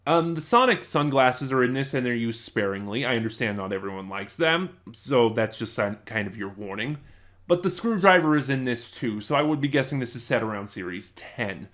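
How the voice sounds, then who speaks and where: A man's voice: medium pitch, animated, moderate pace, fairly bright tone. A man giving a casual, unscripted review in a rambling, conversational way, correcting himself as he goes. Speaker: reviewer and channel host.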